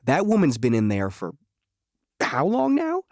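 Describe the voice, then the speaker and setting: A man's voice: medium pitch, wildly swooping pitch, unhurried, a little mellow, no echo, studio quality. An actor playing a wisecracking, jittery, volatile male locksmith outlaw in a western audio drama, with a sardonic, rambling, folksy way of talking. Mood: teasing.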